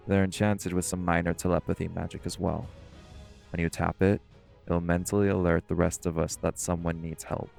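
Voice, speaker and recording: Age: adult. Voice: very deep, gravelly male actor as stern shifter. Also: little pitch movement, normal speed, a little mellow, faint music behind. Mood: serious, cautioning. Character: stern, protective male marine shifter, bossy diving leader. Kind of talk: scripted audio roleplay acting.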